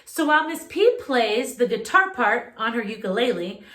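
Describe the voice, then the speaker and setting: A woman music teacher leading a children's lesson, speaking in a clear, instructive way straight to young listeners. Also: on the high side, big pitch swings, moderate pace, a bit bright. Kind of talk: children's music lesson and read-aloud narration.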